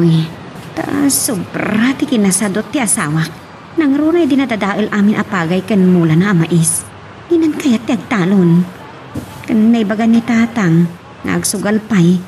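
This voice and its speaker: A woman's voice: mid-range voice, lively intonation, measured pace, dark tone, a little hiss or hum, close to an everyday voice. A woman playing a hardworking, homesick mother working abroad in a radio drama, speaking with an Ilocano accent. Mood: troubled, weary.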